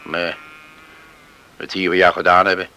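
An actor playing a gruff, reserved older man who is uneasy with emotion, in a Dutch radio drama. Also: low voice, little pitch movement, normal speed, pushed and bright, slight background noise.

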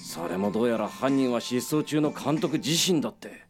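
A man playing a gruff, blunt detective. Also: medium pitch, animated, soft background music, natural voice. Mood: brisk. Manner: brisk, blunt, reporting. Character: gruff male police detective. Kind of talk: radio drama acting.

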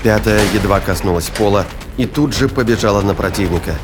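A man's voice: fairly low pitch, a little fast, noisy background. A man delivering scripted dramatic narration for a science fiction audio play. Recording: prominent music and battle effects behind.

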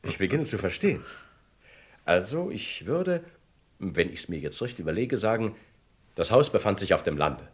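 An actor playing a cooperative kidnapping victim who hesitantly recalls details, in a vintage radio crime drama. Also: male voice, fairly low pitch, big pitch swings, normal speed, pauses often, husky. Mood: recalling.

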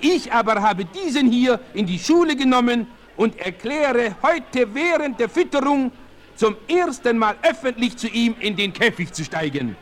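A man's voice: very high voice, exaggerated voice. An actor playing a boastful, daring circus showman and animal tamer, announcing grandly in a 1960s radio play. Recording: vintage vinyl radio play, faint noise.

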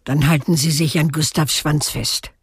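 An actress playing an elderly, gruff and wistful cave woman in a radio drama, speaking in curt, cryptic phrases. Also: sits low, fairly even intonation, normal speed. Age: older, elderly. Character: mysterious old cave woman, gruff, wistful, keeps a fox. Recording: long cavernous reverb.

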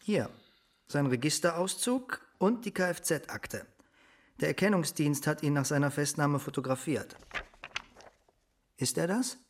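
An actor playing an earnest uniformed police officer in a German radio crime drama, plain and deferential. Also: male voice, a little high, lively intonation, unhurried, long pauses. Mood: matter-of-fact.

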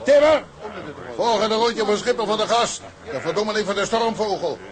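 A man's voice: high voice, normal speed, crowd noise, close to an everyday voice. A man playing a hard-drinking, defiant tugboat skipper in a radio drama, boastful and declamatory. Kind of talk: Dutch radio drama acting.

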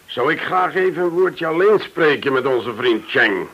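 A man's voice: a little high, animated, normal speed, breathy edge, lo-fi sound. An actor playing a tough interrogator in a radio drama, commanding and sardonic as he presses for answers. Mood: smooth, sardonic. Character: tough, menacing male interrogator with dry mockery. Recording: hissy old radio play recording.

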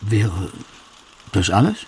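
A man playing the deliberate, orderly narrator of a literary radio feature about a poet's life. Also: fairly low pitch, sing-song, a little hiss or hum, exaggerated voice. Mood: questioning.

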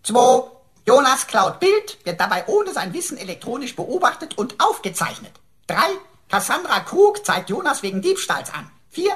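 A man's voice: very high voice, sing-song, moderate pace, fairly bright tone, full character voice. A man playing a pompous, jealous personal computer in a sci-fi radio drama, declaiming in florid, preacher-like style. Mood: officious. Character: pompous, jealous male personal computer, long-winded and theatrical.

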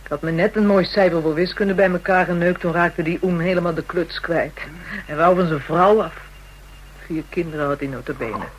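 A woman's voice: on the low side, moderate pace, a little hiss or hum, natural voice, rough recording. A woman playing a bitter, hard-bitten young call girl in a radio drama, coarse and defiant. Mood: brash, bitter.

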